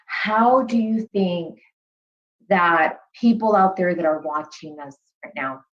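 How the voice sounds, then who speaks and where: A woman's voice: lowish voice, takes it slowly. A woman hosting an interview, asking earnest questions and praising her guests effusively. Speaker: host. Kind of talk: interview conversation. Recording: dull lo-fi sound.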